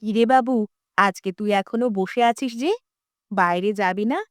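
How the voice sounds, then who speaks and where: A woman's voice: mid-range voice, normal speed, no room sound, studio quality, close to an everyday voice. A woman playing a concerned, nagging village mother in a cartoon, coaxing and chiding. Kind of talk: cartoon dialogue acting.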